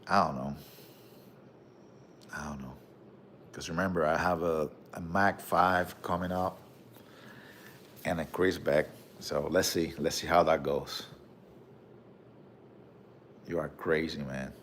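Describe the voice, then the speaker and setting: A man with a Puerto Rican accent hosting a casual livestream, reading viewer comments and showing off his collection, with a relaxed manner and frequent "bro" and "okay?". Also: very deep voice, takes it slowly, pauses often, slight background noise. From Puerto Rico. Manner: relaxed, repeats "bro" and "okay?", many fillers.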